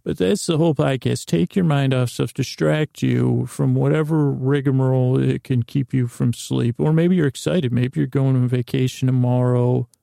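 Gravelly, creaky man rambling soothingly. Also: mid-range voice, steady tone, dark tone, dry recording, clean studio sound. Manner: meandering, halting, repeats and trails off into tangents; soothing, lulling. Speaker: podcast host. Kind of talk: rambling, improvised bedtime monologue.

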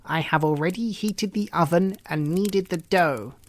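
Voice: mid-range voice, unhurried, slight background noise, close to an everyday voice. Cunning old female witch, sly and mocking, put on by the storybook reader; mid-range voice. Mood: commanding. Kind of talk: storybook reading.

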